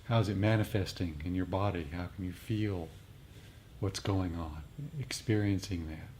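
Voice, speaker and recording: A man's voice: deep voice, steady tone, moderate pace, a little mellow. A man co-leading a meditation group, explaining things off the cuff and sometimes searching for words. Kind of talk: unscripted explanatory group discussion. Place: group meditation meeting room. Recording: faint background room noise.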